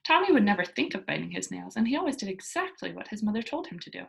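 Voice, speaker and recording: A woman reading a children's story aloud as narrator, in a deliberate, expressive storytelling manner. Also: medium pitch, vocal fry.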